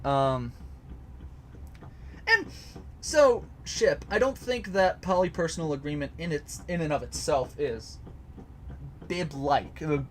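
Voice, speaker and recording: Slow, halting man, higher voice. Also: lively intonation, a little hiss or hum. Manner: hesitant, many restarts and fillers. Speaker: host answering chat. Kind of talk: casual livestream explanation. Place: home basement recording area.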